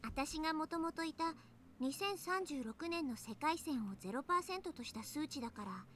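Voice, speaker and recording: A high-pitched, breezy young woman from the future, talking quickly in anime game style. There is some faint noise behind her. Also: animated, a bit bright. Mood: matter-of-fact. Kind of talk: Japanese visual novel voice acting.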